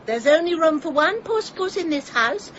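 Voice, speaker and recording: A woman's voice: very high voice, lively intonation, moderate pace, a bit bright, dull audio, a little hiss or hum. A woman playing a prim, bossy, sanctimonious landlady in a comic radio drama, pompous and fussy with pointedly polite sarcasm. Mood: smug, bossy.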